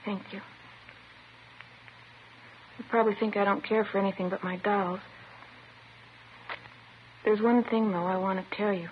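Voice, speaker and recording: A woman's voice: medium pitch, steady tone, measured pace, long pauses, natural voice. A woman playing a disturbed teenage girl in a 1950s radio drama, plaintive and childlike, matter-of-fact and wistful. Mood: pleading, childlike. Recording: dull, noisy old radio recording.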